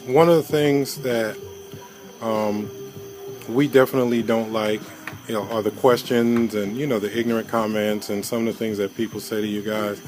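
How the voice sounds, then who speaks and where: A man's voice: on the low side, moderate pace, over music, rough recording. A man interviewing a group of women on location, asking loose, rambling questions. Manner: relaxed, asks rambling questions with fillers.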